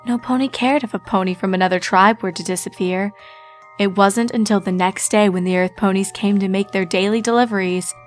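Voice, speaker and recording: A woman reading a dark story aloud in a steady, solemn voice at a middling pitch. Faint music plays under her narration.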